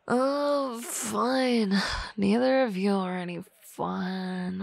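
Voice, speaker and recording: A woman's voice: a little high, gravelly, no echo, exaggerated voice. A woman playing a tipsy, flustered boss. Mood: playful. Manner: slurry, rambling, often whispering. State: drunk. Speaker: voice actress. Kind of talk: ASMR roleplay.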